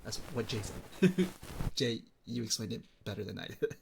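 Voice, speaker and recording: A man's voice: fairly low pitch, big pitch swings, normal speed, vocal fry, noisy background. A young man from the Philippines streaming and chatting casually with viewers, rambling and restarting his sentences as he talks.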